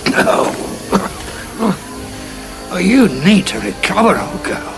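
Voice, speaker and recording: Brief: a gruff, gravelly old time traveller, slow-spoken, for an audio drama over loud music. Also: male voice, high-pitched, sing-song, heavily acted. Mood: musing. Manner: slow, quiet musing to himself. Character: weary older male time traveller, dry and gruff. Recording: loud music score underneath.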